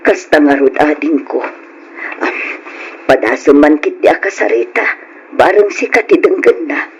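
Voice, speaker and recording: A woman's voice: low-pitched, expressive, normal speed, a little hiss or hum, rough recording. An actress playing a grieving elderly mother in an old Ilocano radio drama, speaking in a plaintive, pleading, emotional way. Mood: weary, worried.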